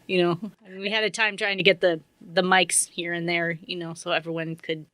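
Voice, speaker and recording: A woman's voice: fairly low pitch, level tone, brisk, pushed and bright, no echo. A woman speaking as a guest in a casual radio interview, agreeable and informal. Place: radio station studio.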